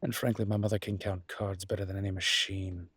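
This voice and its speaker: Man playing a low, husky, charming young mafia boss. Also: little pitch movement, fairly quick, a bit bright, no room sound. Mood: wry, fond. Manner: playful, smooth-talking, teasing. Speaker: voice actor in a roleplay audio. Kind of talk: intimate audio roleplay acting.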